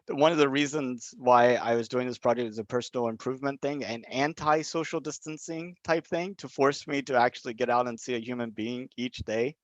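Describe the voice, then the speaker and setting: A man's voice: medium pitch, dry recording. Hesitant man from Texas, dull audio. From Southeast Texas, USA. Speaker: interviewer running a video project. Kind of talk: casual unscripted conversation.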